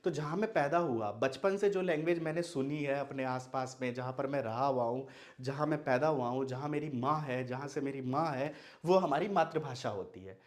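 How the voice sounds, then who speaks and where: A man's voice: mid-range voice, normal speed. A young man from Bihar whose first language is Maithili, teaching an online Hindi lesson. He asks questions and then answers them himself. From Madhubani, Bihar, India. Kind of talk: explanatory video lesson.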